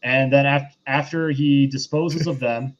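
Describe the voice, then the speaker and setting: A man's voice: mid-range voice, fairly even intonation, a little slow, muffled recording. A man hosting a casual film review, recapping in a loose, rambling conversational way.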